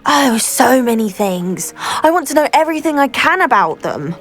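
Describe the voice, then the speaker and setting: Eager british teen witch in a game, highish n really swoopy, earnest and gushing, music behind. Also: big pitch swings, moderate pace, fairly bright tone. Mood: passionate. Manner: effusive, earnest, well-spoken. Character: eager, idealistic teenage schoolgirl witch fascinated by merpeople. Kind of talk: video game dialogue acting.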